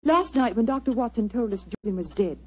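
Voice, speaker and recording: A woman acting a genteel, earnest, wealthy society lady in a 1940s radio drama, polite, measured and dramatic. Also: on the high side, lively intonation, close to an everyday voice. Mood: earnest, confessional. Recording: muffled vintage 1940s radio recording.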